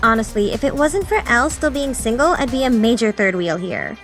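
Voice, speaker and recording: A woman's voice: fairly high pitch, animated, fairly quick, fairly bright tone. A woman voicing a dramatic, envious teenage schoolgirl in an audiobook, with a teasing, exaggerated way of talking. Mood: gushing, exasperated. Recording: music behind the voice.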